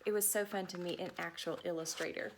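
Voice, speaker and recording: A woman storyteller reading a picture book to young children in an animated way, putting questions to them and explaining words. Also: lowish voice, quick pace, a little hiss or hum. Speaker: storyteller, former teacher. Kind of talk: children's picture book read-aloud with asides to young listeners.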